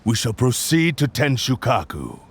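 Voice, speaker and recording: A man voicing a proud, obsessive male spirit of a cursed blade in a video game, speaking in a haughty, deliberate, formal and archaic way. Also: medium pitch, wildly swooping pitch, a little hiss or hum. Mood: coldly resolved. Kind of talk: dramatic video game voice acting.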